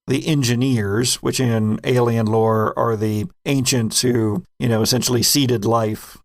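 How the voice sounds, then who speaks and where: A man's voice: mid-range voice, fairly even intonation, unhurried, no room sound. A man hosting a podcast, chatting casually and explaining things in a rambling, digressive way. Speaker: podcast host and game master. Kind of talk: casual retrospective chat.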